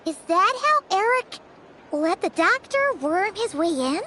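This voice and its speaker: A woman voicing a small, childlike, excitable and curious fairy companion in a video game, with a squeaky voice. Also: a little slow, heavy background noise, full character voice. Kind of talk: cartoonish video game voice acting. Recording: clear music behind the voice.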